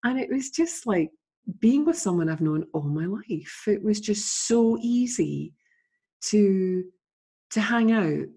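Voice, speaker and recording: Woman, podcast host, casual heartfelt intro, mellow, slightly husky. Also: mid-range voice, lively intonation, slow. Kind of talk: casual interview conversation.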